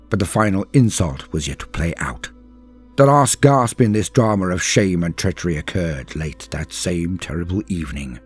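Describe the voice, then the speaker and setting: A man delivering dramatic scripted lore narration in a solemn, grave and measured storytelling manner. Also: low-pitched, a little mellow, breathy edge. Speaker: narrator and host of a lore channel.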